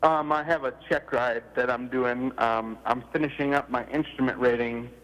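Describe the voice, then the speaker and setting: A man calling in to a radio show, chatty and laughing often, his voice a little gravelly today. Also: medium pitch, fairly even intonation, normal speed, a bit bright, rough recording. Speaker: radio guest, impressionist. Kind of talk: casual phone chat. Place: remote call from Florida.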